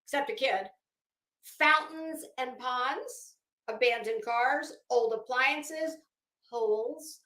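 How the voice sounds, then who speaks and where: A woman's voice: high voice, takes it slowly, bright, forceful tone. A woman livestreamer talking casually and reading aloud, with a blunt, sardonic, salty-tongued manner. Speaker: livestream host. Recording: echoey room.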